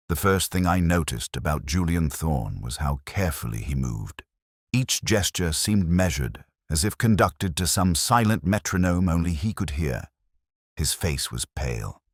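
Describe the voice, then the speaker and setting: Guy playing brooding old-school police inspector telling his case, super low voice, measured, really dry close studio sound. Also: slightly rough, no room sound, exaggerated voice. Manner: measured, reflective, literary delivery. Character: weary, razor-minded male police inspector, brooding and world-wise. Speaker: narrator. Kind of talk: scripted first-person detective audio drama narration.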